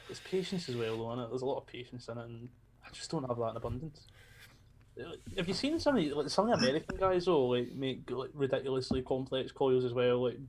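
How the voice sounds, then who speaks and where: A man's voice: medium pitch, brisk, darkish tone, a little hiss or hum, rough recording. A man hosting a casual chat, blunt and joking, swearing freely. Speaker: podcast host.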